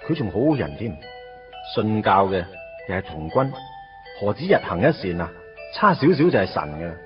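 An actor playing a lovesick, self-deprecating teenage schoolboy in a radio drama, confiding and wry, in Hong Kong Cantonese. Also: male voice, lowish voice, very animated, normal speed. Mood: wry, jealous. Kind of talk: radio drama narration and dialogue. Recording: dull lo-fi radio recording with music.

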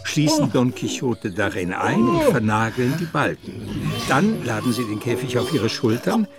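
Guy narrating a radio play, lively up and down voice, music loud underneath. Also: medium pitch, a little slow. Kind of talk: scripted radio drama narration in present tense.

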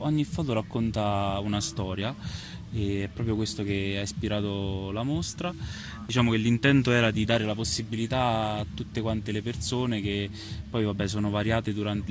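Low-pitched man, noisy surroundings. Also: steady tone, normal speed. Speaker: photographer and exhibition curator being interviewed. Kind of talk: spontaneous interview answers. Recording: clear ambient noise behind the voice.

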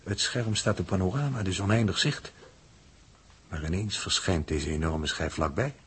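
A man's voice: low voice, a bit bright, husky, full character voice. An actor playing a cautious, pedantic spaceship crewman with a Netherlands Dutch accent, speaking in formal, pondering 1960s radio drama style. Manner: formal, deliberate wording, pondering.

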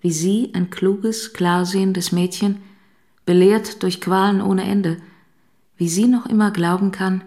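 An actress playing a critical, challenging woman in a literary radio play, speaking in a pointed, deliberate way. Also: mid-range voice, steady tone, a little mellow, natural voice. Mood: searching, insistent. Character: critical, challenging woman confronting a troubled man.

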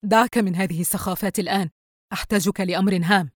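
A woman's voice: mid-range voice, expressive, quick pace, dry recording, studio quality, natural voice. A woman voicing a stern, cold, fed-up mother who gives curt commands in a dramatised audio story.

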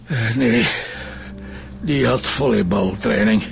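An actor playing a gruff, grieving old Flemish grandfather and farmer in a radio drama, speaking tersely. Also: fairly low pitch, slow, soft background music, exaggerated voice. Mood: curt, defensive. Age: older, grandfather. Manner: terse, repeating words for emphasis.

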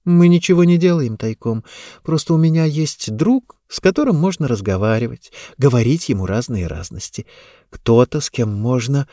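A man's voice: mid-range voice, lively intonation, normal speed, mellow, dry recording, clean studio sound, natural voice. A man voicing a sheltered young woman of twenty, earnest and coaxing, in a novel reading. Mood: dreamy, eager.

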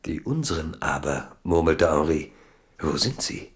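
Deep, slow male narrator. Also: lively intonation. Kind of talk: scripted literary narration.

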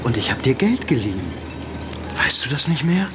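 A man's voice: medium pitch, very animated, normal speed, close to an everyday voice. A man playing a needling former editor who cadges money in a radio drama, chatty and pointed, with music behind. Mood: wheedling, needling. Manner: chatty, wheedling, pointed questions. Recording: music bed under the voice.

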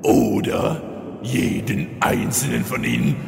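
A theatrical undead villain lord from a fantasy parody radio play. The voice is heavily creaky and slowly paced, swinging widely in pitch with hammy, exasperated menace. It rings in an echoing hall with faint noise. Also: male voice, wildly swooping pitch, a little mellow, full character voice. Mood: smug, scheming. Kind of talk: German audio drama parody.

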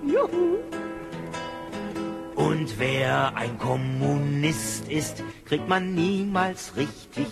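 A man's voice: on the high side, big pitch swings, drawn-out words, vocal fry. A male singer-songwriter performing a satirical protest ballad to plucked acoustic guitar. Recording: archive song recording with guitar.

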